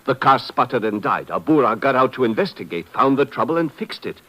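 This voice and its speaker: A man's voice: medium pitch, lively intonation, a little fast, pushed and bright, low-quality recording. An actor playing a dignified, defensive man of importance in a 1950s radio drama, speaking formally and insistently. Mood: explaining.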